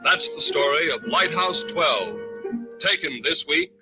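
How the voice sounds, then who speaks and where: A man's voice: on the high side, big pitch swings, a little slow. A man delivering a dramatic old-time radio introduction. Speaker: announcer. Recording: old radio broadcast, loud music behind.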